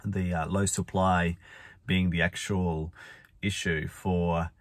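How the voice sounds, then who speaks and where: Male vlogger, 40s, deep and croaky with a cold, rambling, chatty, dry close sound. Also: level tone, slow, no room sound. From Australia. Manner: chatty, rambling, frequent fillers and restarts. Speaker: finance vlogger. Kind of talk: casual talking-head vlog. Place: inside a parked car. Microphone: phone or camera at arm's length.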